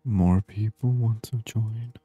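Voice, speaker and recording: A man giving soft-spoken ASMR gameplay commentary in a hushed, unhurried murmur, at times near a whisper. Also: fairly low pitch, steady tone, husky, dry recording, faint music behind. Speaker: gaming video host.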